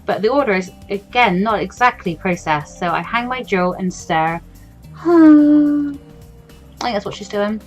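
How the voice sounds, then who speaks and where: A woman's voice: mid-range voice, animated, unhurried. A woman hosting a game playthrough, reading story text aloud expressively and adding casual asides of her own. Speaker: let's play host. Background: faint game music.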